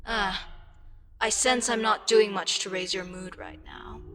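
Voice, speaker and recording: A woman playing a frustrated, condescending, wryly amused eldritch deity in an ASMR audio roleplay, with a dryly sarcastic, unhurried manner. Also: mid-range voice, fairly bright tone, a little hiss or hum. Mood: apologetic, blunt.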